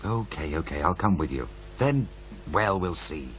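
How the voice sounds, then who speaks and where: Casting: reluctant, cautious programme chief in radio drama, lively intonation, slightly noisy recording with hum. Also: male voice, deep voice, moderate pace. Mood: resigned.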